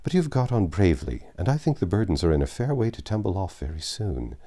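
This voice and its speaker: A man voicing a proud, tender middle-aged father in a storybook reading, speaking in a measured, fond, fatherly way. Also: deep voice, big pitch swings, dark tone, exaggerated voice. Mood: fond, cheerful.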